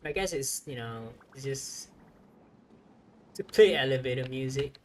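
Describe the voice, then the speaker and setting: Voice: medium pitch, expressive, unhurried. A game streamer giving casual livestream commentary, rambling and thinking aloud.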